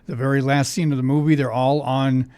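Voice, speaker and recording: A man's voice: mid-range voice, little pitch movement, fairly quick, mellow, clear, resonant tone, dry recording. A man hosting a casual oldies trivia show on the radio, talking in a chatty, folksy, conversational way. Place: radio studio.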